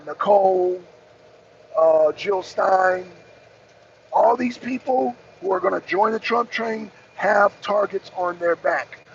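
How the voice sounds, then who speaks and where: An American man with a raspy voice hosting a political talk show, giving impromptu commentary and emphatically repeating his key phrases. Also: high voice. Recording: dull lo-fi audio, clear background noise.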